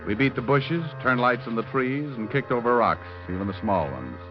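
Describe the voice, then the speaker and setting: An actor playing a wry, hard-boiled private detective in an old radio drama, with sardonic, clipped delivery. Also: male voice, medium pitch, expressive, moderate pace, natural voice. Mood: grim, wry. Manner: sardonic, clipped tough-guy wisecracks. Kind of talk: 1940s-50s radio detective drama acting. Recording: muffled old radio recording, music behind.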